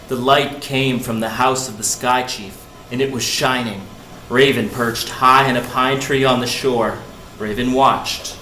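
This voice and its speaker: A man's voice: mid-range voice, fairly bright tone. A man narrating a folk tale for children in simple, short phrases. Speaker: storyteller. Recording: echoey room, faint background noise.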